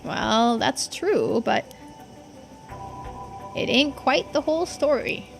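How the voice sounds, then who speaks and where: A woman's voice: sits high, expressive, takes it slowly, gravelly, faint music behind, full character voice, low-quality recording. A woman voicing a folksy, earnest islander who explains old secrets in video game dialogue.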